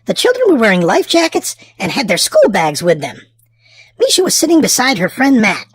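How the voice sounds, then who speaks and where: Female read-aloud host for young children, high voice, expressive and drawn-out delivery, dry close sound. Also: breathy edge, no room sound. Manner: theatrical, drawn-out emphasis for small children. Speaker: children's storybook reader and channel host.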